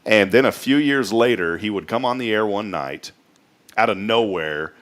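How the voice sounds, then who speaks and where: A man's voice: fairly low pitch, expressive, moderate pace, a bit bright. An American man in his late thirties hosting a talk show in a casual, folksy, rambling way. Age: late 30s. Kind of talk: casual chatty monologue.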